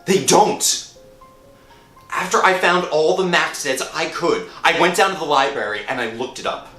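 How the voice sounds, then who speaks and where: A man playing a frantic, guilt-ridden working-class thief in an old-time radio thriller, agitated and halting as he confesses. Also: high-pitched, sharp tone, full character voice. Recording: roomy echo with music underneath.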